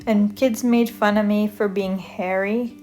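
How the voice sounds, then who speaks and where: Slow-talking young woman chatting casually. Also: mid-range voice, fairly even intonation. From Canada. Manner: rambling, many fillers and self-corrections. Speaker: art vlogger. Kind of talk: casual chat over a draw-with-me video. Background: soft guitar music.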